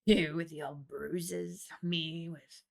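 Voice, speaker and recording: A woman voicing a cunning, predatory male guild champion in an audiobook, slow and smoothly menacing. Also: low voice, fairly even intonation, full character voice. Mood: sly teasing.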